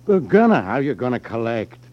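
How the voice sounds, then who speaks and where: A dull 1950s radio recording of an actor as a male gangster's sidekick, none too bright. He grumbles in blunt slang with lively pitch swings and trips over his words when puzzled. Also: a little fast, lightly acted. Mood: doubtful, grumbling. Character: dim, grumbling gangster henchman of a gambling boss. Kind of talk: 1950s radio drama acting.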